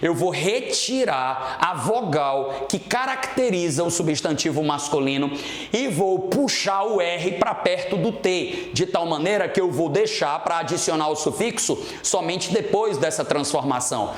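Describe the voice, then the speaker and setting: A man's voice: a little high, expressive, measured pace. A Brazilian man teaching a grammar lesson as an English teacher, explaining didactically and checking that students follow. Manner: didactic, checks understanding often. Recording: roomy, echoing room sound.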